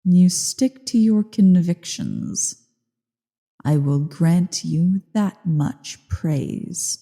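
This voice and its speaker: A woman voicing an ancient evil female dragon in a slow ASMR roleplay monologue, haughty, bored and menacing, with a low, husky, creaky delivery. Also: mellow, heavily acted. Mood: haughty, bargaining. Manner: haughty, disdainful, deliberate. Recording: long cavernous reverb.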